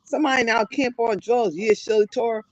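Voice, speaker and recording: A deep-voiced woman joins a live stream as a guest, chatting and retelling a quarrel with quoted lines. Her mic crackles from being held too close, and the audio sounds dull and dry. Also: steady tone, a little fast, no room sound. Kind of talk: casual live stream talk.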